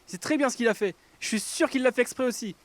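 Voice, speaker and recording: A man's voice: very high voice, fast talker, dry recording. A man playing an anxious, irritable young adventurer in an audio drama, speaking in a blunt, exasperated and urgent way. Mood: angry. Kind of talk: audio fiction acting.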